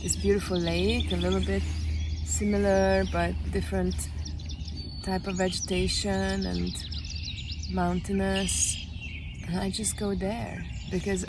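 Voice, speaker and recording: A woman from Hungary speaking slowly and gently, pausing often as she reflects, recorded outdoors by a lake. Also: mid-range voice, level tone, background noise. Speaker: retreat guest sharing her experience. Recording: outdoor audio with clear birdsong. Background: birdsong.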